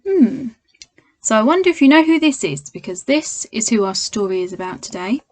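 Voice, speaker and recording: A woman's voice: fairly high pitch, big pitch swings, normal speed, darkish tone, no echo. A woman reading a children's storybook aloud, speaking expressively and directly to young listeners as a primary school teacher.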